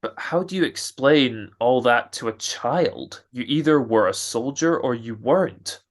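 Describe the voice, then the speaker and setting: A man's voice: mid-range voice, a little slow, close to an everyday voice. A man playing a nervous, fretful expectant father with an anxious stammer in a radio drama. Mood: bitter. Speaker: amateur radio drama actor.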